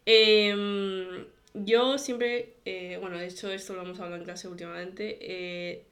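Young woman, medium pitch, informal and explanatory, casual interview guest. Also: fairly even intonation, measured pace, fairly bright tone. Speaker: podcast guest.

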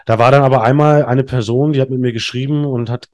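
A man's voice: mid-range voice, steady tone, fast talker, a little mellow. Frank, rambling man in his thirties. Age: 30s. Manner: frank, rambling, frequent fillers and restarts. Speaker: podcast guest. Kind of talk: candid conversational storytelling.

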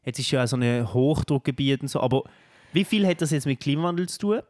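A man's voice: mid-range voice, fast. A young man with a Swiss German accent, co-hosting a podcast in casual, slangy chat. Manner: slangy and animated, keeps saying "bro". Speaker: podcast co-host.

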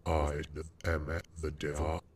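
The devil as a storybook gentleman, voiced by a male reader. He is a smooth, suave tempter who makes his offers with polish. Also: extremely low pitch, flat delivery, slow, pitched below the performer's own voice, exaggerated voice. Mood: tempting, suave.

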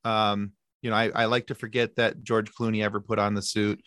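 A man in his thirties chatting casually as a podcast guest, sarcastic and animated, often restarting his sentences. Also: on the low side, brisk, dry recording, studio quality. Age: 30s. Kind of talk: casual chat.

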